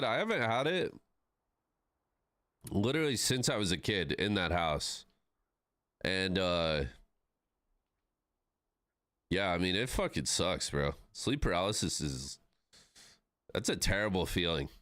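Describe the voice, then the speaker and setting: Laid-back streamer man, lively swooping pitch. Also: unhurried, long pauses, no echo, studio quality. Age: 30s. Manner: laid-back, swears freely, says "bro" and "man". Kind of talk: casual live-stream chat with viewers.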